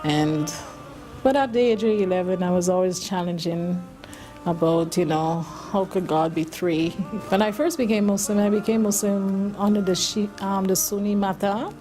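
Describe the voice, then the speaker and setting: A woman's voice: mid-range voice, moderate pace, warm tone. A middle-aged woman from Barbados with a Caribbean accent, telling a personal story in an interview. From Barbados, born in Saint Vincent. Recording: faint music behind the voice.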